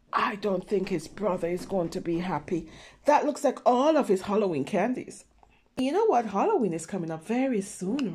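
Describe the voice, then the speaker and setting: A woman's voice: on the high side, a little mellow. A woman reading a picture book aloud to young children, explaining simply and checking in with questions. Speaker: children's read-aloud storyteller.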